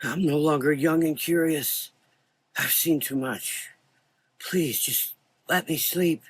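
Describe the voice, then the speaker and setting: A man voicing a frightened, frail elderly doctor with a weak, thin voice and halting speech in a gothic horror audio drama. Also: fairly high pitch, husky, no echo, voice pushed up. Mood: weary, confused. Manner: halting, repeating phrases, exclaiming.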